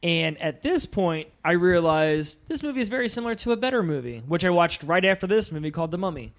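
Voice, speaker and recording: A man's voice: high voice, lively intonation, fast. A man co-hosting a film podcast, talking casually and loosely, sometimes retelling scenes conversationally. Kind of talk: casual movie discussion.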